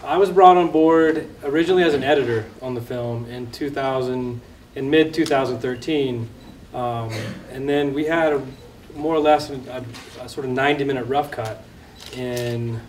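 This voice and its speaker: Man, hoarse, conversational Q&A answers, restarts sentences, roomy hall, distant from the mic. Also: medium pitch, normal speed, darkish tone. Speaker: film director at a Q&A. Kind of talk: post-screening Q&A answers. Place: large screening hall. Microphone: lost microphone, speaking unamplified. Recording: echoey hall, voice from far off.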